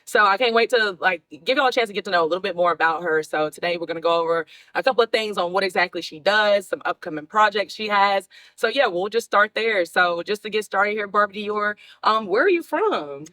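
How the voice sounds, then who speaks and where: A woman talk show host with a bright, forceful voice and a very quick pace. She chats informally and upbeat, full of compliments and slang as she interviews. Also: mid-range voice. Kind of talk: casual interview chat.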